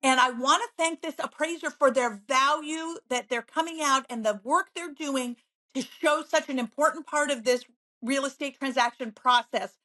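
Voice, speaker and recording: A woman real estate agent as an interview guest, bubbly and upbeat, telling stories with lively enthusiasm. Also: high voice, rough recording. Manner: bubbly, upbeat, quick to laugh. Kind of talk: conversational interview.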